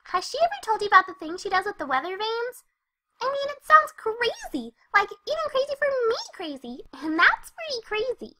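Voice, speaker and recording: Very high, bubbly cartoon pony girl. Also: normal speed, full character voice. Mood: scatterbrained, cheerful. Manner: chatty, scatterbrained, excitable. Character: Pinkie Pie, hyper cheerful young female cartoon pony. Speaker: first-person narrator of a fan fiction reading. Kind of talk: animated character narration.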